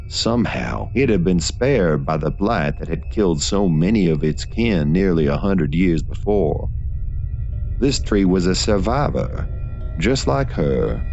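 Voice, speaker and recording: A man with a low, deep voice narrates a gothic horror tale. His delivery is dramatic and deliberate, with weighted pauses between phrases. Faint music plays behind him. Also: a little mellow, dull audio. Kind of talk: scripted gothic horror audio fiction narration.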